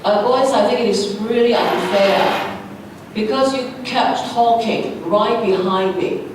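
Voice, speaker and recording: Slow-talking woman teacher, very echoey hall. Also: mid-range voice. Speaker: teacher addressing schoolboys. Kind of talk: reprimand to students. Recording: very echoey hall, distant, noisy.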